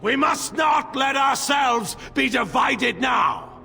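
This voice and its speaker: Proud middle-aged general, game drama, high-pitched for a man, hard-edged, commanding, grandiose, echoing hall. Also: expressive, takes it slowly, creaky, full character voice. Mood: rousing, defiant. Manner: oratorical, forceful, grandiose delivery. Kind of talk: dramatic game cutscene acting. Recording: long echoing hall, faint music behind.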